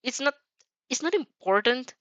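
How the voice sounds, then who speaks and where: A woman's voice: sits high, animated, moderate pace, fairly bright tone, no room sound, pitched above the performer's own voice. A woman plays a flustered teenage girl student in a fan fiction audio story. She is defensive and stubborn, hesitating as she explains herself. The recording is dry and a bit muffled. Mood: nervous. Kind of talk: amateur fan fiction character reading.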